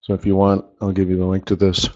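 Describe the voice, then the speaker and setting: Husky-throated man teaching trading. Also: low voice, monotone, fast, a little mellow, lo-fi sound. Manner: rambling, self-correcting, emphatic repetition. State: losing his voice, clears throat often. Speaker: forex trading instructor. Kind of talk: live trading lesson over charts. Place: studio or office, live stream.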